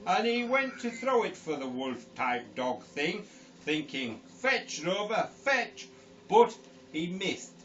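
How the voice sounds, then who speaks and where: A giggly man rambles through a silly bedtime story in a high, lively voice, taking his time. He sounds far from the mic on dull audio with a faint hiss behind. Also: slow. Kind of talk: improvised comic storytelling. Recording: distant lo-fi home recording with hiss.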